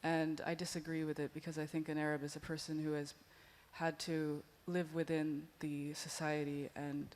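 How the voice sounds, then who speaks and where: A woman panelist giving a thoughtful, measured answer at a museum panel discussion. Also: sits low, flat delivery, a little mellow. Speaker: panelist artist.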